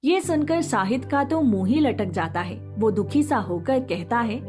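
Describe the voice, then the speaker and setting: High-voiced woman narrating a moral story. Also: animated, measured pace, darkish tone, faint music behind.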